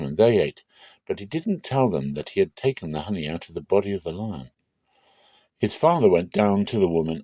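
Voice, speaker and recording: A man narrating a dramatic reading of scripture in a solemn, deliberate and expressive storytelling style. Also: low voice, no echo.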